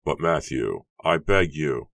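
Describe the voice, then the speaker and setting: A desperate older man from a storybook, performed by a male narrator, with an extremely deep voice. He pleads with trembling emotion, heard close in a dry recording. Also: moderate pace, no echo. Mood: shocked, pleading. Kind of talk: dramatic audiobook character voice.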